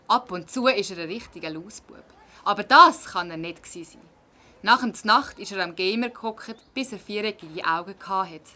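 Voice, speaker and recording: A bright, forceful hedgehog mum played by a woman for a children's audio play. She speaks with a Swiss German accent in a folksy, emphatic way. She is chatty and stands up for her own. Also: medium pitch, moderate pace, lightly acted. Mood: indignant, defensive. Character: hedgehog mother, chatty, protective of her mischievous son.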